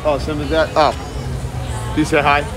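A man talking loudly and casually to viewers as he live-streams while walking around. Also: a little high, completely flat delivery, normal speed, music in the background. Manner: loud, chatty, talks to viewers and chat. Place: outdoors at a busy shopping area, later indoors. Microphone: phone held while walking. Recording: phone audio with crowd noise. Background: crowd chatter.

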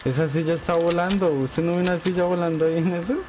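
Laid-back gaming man over loud gunfire. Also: on the high side, fairly even intonation, quick pace. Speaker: teammate, co-streamer. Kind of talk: live gameplay chat. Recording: heavy game noise and gunfire.

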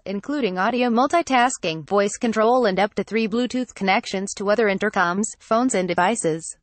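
A woman's voice: mid-range voice, no room sound. A woman narrating scripted product news, reading a written article word for word. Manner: reads written article word for word, spelling out abbreviations.

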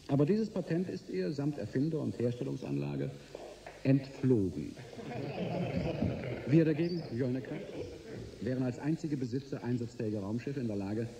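An actor in a radio drama playing a shrewd, smug corporation boss, suave, deliberate and condescending. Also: male voice, medium pitch, mellow, close to an everyday voice. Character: shrewd, smug male energy corporation boss negotiating hard. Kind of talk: German radio drama acting. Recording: roomy sound with faint noise.

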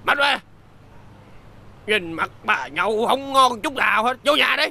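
An actor playing a boastful, bossy, tipsy village husband in a folk-tale comedy film. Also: male voice, very high-pitched, expressive, measured pace, gravelly, bright, forceful tone, a little hiss or hum, full character voice. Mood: angry, dismissive. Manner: tipsy, blustering speech. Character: drunken, boastful village husband, generous to drinking buddies, bossy to wife.

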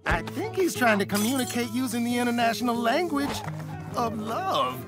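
A man's voice: very high-pitched, big pitch swings, normal speed. A man voicing a jovial, brash young half-robot superhero in a cartoon, casual and teasing. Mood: exasperated. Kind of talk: animated cartoon voice acting. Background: music.

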